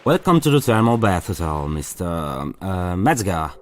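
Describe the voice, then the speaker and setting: A man's voice: low voice, sing-song, a little slow. A man playing a fussy, polite hotel receptionist in a video game, formal and a little hesitant.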